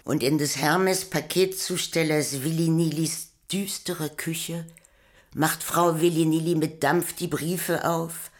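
An actress playing a measured, literary storyteller, narrating a radio play. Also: female voice, deep voice, fairly even intonation.

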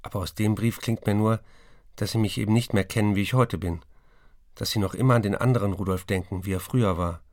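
A man's voice: fairly low pitch, fairly even intonation, quick pace, darkish tone, natural voice. An actor playing a troubled writer who looks back on his bookish youth in a radio play, plain and reflective. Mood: wounded, explaining. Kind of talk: radio play, first-person literary recollection.

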